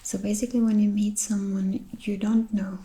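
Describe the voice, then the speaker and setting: A Czech-accented woman whose first language is Czech, giving a soft-spoken ASMR lesson in a whispery voice with hesitant ums and likes. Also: medium pitch, little pitch movement, moderate pace. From Czech Republic. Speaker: ASMR host.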